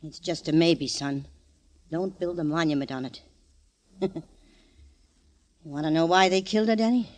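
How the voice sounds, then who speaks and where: A woman's voice: low-pitched, moderate pace, long pauses, darkish tone, close to an everyday voice. An actress playing a hard, domineering older mother of a gangster, scolding and sardonic, in an old radio crime drama. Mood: menacing.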